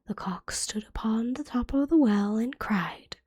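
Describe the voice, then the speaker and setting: A woman reading a fairytale as ASMR in a soft, breathy whisper, gently and carefully, like a bedtime storybook. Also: fairly high pitch, measured pace, dry recording, studio quality.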